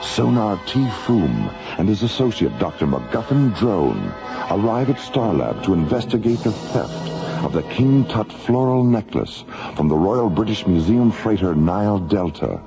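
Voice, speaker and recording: An actor narrating a space radio serial as a grave announcer, measured and documentary in style. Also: male voice, lowish voice, very animated, vocal fry, highs cut off, heavily acted. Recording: band-limited radio recording, loud music bed.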